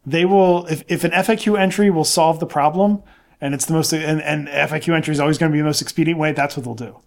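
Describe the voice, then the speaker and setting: Male podcast co-host, higher-pitched and rapid, emphatic, circles back over his own phrases in loose debate. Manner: insistent, emphatic, repeats himself. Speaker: nonprofit director and podcast co-host. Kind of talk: casual debate, opinionated discussion.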